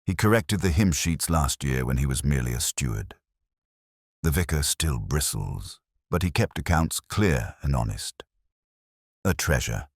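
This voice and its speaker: A man's voice: a bass voice, lively intonation, unhurried, husky, dry recording, studio quality, full character voice. Man putting on prim village committee woman, declarative, disapproving, swooping intonation, audiobook mystery. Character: prim, opinionated female village committee stalwart.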